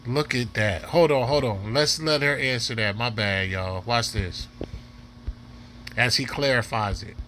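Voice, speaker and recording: A man's voice: mid-range voice, unhurried, bright, forceful tone. A man commentating casually off the cuff as a reaction host, repeating himself as he urges viewers to watch. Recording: faint background noise.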